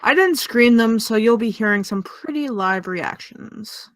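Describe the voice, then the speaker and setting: Woman voicing a sarcastic teen podcaster, lively pitch, dry close sound. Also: unhurried, dry recording. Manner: sarcastic, teasing. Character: snarky teen girl podcaster reading mail. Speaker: podcast co-host.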